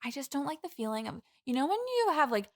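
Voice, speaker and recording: A woman's voice: high voice, very animated, fast. A woman playing a needy, anxious, dramatic young woman who rambles earnestly in an improvised comedy conversation.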